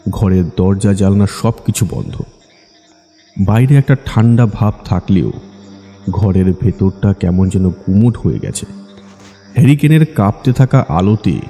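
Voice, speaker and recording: A man narrating a scripted ghost story for an audiobook, with long reverb on his voice. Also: lowish voice. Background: soft music.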